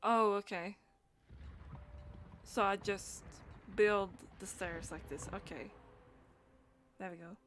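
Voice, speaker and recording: Woman, mid pitch, game streamer, reads and comments aloud, stumbling, repeats words. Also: a bit bright, soft background music. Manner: halting reading with stumbles and repeats. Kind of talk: reading game dialogue aloud and chatting.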